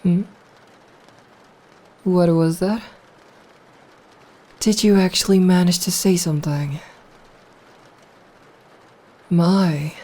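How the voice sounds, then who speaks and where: A woman playing a seductive, possessive female vampire in an intimate ASMR roleplay, speaking softly and breathily in a hushed, teasing, coaxing way. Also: fairly low pitch.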